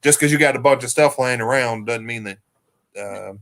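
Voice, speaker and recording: A man's voice: medium pitch, moderate pace, vocal fry, fairly bright tone. A man in his thirties from Arkansas with a country Southern accent, hosting a casual live stream chat in a folksy, teasing way. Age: 30s. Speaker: livestream host.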